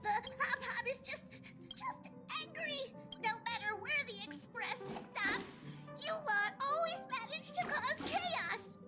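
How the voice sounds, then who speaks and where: A woman's voice: very high-pitched, exaggerated voice. A woman voicing a small fussy creature conductor who scolds tearfully and calls itself by name in the third person, over game music.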